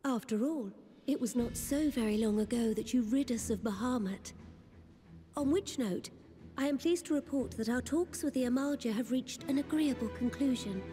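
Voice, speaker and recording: High, mellow, stately young queen played by a woman. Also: moderate pace, lightly acted. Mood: hopeful, resolute. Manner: stately, formal, courtly. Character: gracious young female sovereign, formal and resolute. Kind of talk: scripted fantasy video game dialogue. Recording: long reverb, game music underneath.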